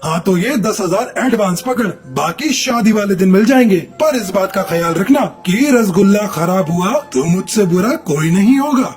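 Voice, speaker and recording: A man acts out a bossy landlord in a children's cartoon. His voice sits high and he talks in commands and boasts. Faint music plays behind him. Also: lively intonation, normal speed, few pauses. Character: bossy landlord giving orders with threats. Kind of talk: Hindi children's moral cartoon acting.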